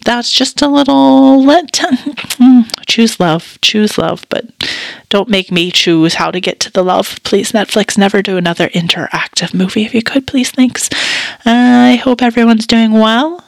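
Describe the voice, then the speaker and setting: A woman hosting a casual solo podcast check-in, chatty and self-deprecating, slightly raspy from a sore throat. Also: a little high, a little slow, dry recording. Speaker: podcast co-host.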